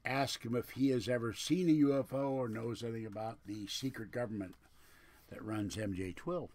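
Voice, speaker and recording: A man's voice: mid-range voice, moderate pace, a little mellow, slight background noise. A man with a lisp chatting casually and rambling to viewers on a livestream, with a digressive, grumbling manner. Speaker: livestream host.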